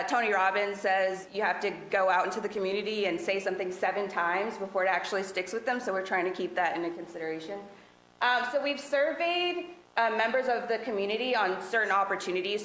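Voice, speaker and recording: A woman presenting to a city council work session, speaking loudly in a relaxed, conversational way with many fillers. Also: medium pitch, fairly quick. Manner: loud voice. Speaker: task force presenter. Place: council meeting room. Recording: echoey meeting room with faint noise.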